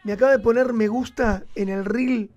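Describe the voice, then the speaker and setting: A man's voice: very high-pitched, steady tone, a little mellow, lo-fi sound. A man with an Argentine accent co-hosting a podcast, chatting playfully and teasing his partner. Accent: Argentine Spanish. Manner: playful, teasing, quick to joke. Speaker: co-host. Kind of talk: casual podcast chat.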